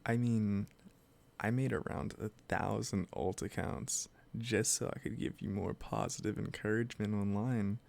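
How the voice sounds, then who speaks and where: A man playing an obsessive, lovesick kidnapper in a roleplay audio drama, soft-spoken, hesitant and possessively sweet as he addresses the listener. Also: lowish voice, fairly even intonation, vocal fry. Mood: possessive, smitten. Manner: slow, hesitant, gently coaxing.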